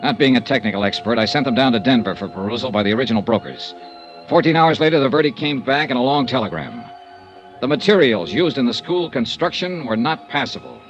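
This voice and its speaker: An actor playing a wry, hard-boiled insurance investigator with a dry, clipped delivery, in a 1950s radio drama. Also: male voice, medium pitch, lively intonation, fast, highs cut off, soft background music, close to an everyday voice. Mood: brisk narrating. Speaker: detective narrator and lead. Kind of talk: 1950s radio noir narration and acting.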